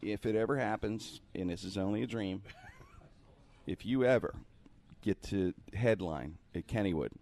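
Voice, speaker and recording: A man's voice: fairly low pitch, moderate pace, darkish tone. A man, a comedian hosting a casual radio interview in a jokey, effusive way and repeating phrases for emphasis.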